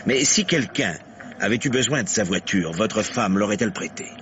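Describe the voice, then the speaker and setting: A dull, noisy old radio-play recording carries an actor playing a police lieutenant with a low, widely swinging voice. He is methodical and dogged, putting his questions deliberately and then pressing with emphasis. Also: lively intonation, lightly acted. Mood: deliberate, insistent. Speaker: police investigator. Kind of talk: French radio mystery play acting.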